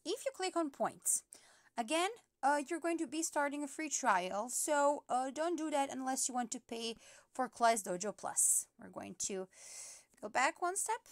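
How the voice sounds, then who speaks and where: A woman's voice: sits high, no echo, clean studio sound. A woman teacher patiently walking parents through an app step by step, with frequent fillers. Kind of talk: app tutorial screen recording.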